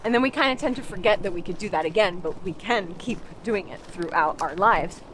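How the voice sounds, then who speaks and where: A woman's voice: fairly low pitch, a little fast, a bit bright, a little hiss or hum, rough recording. Chatty Canadian-raised woman outdoors. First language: English. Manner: chatty, many "like" fillers and restarts. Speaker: Finnish language coach. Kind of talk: casual walking conversation. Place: outdoors in a park.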